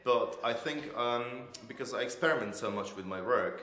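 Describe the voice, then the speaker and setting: A man's voice: medium pitch, moderate pace, sharp tone. A man in his forties with a Croatian accent, answering interview questions hesitantly as he searches for words. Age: 40s. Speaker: artist being interviewed. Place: indoors in an art gallery room. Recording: echoey room.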